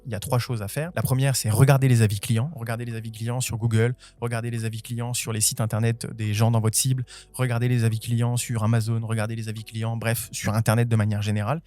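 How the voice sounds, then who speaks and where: A man's voice: medium pitch, mellow. A man, explaining things directly and informally as an online instructor, with emphatic repetition. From Portuguese family. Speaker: online instructor on selling AI services. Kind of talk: informal tutorial explanation to camera.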